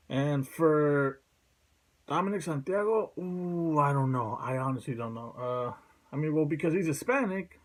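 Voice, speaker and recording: A man hosts a casual opinion vlog in a mid-range voice. He talks informally and swears freely, working out his thoughts aloud as he goes. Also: mid-range voice. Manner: informal, profane, thinking aloud. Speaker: video host giving opinions.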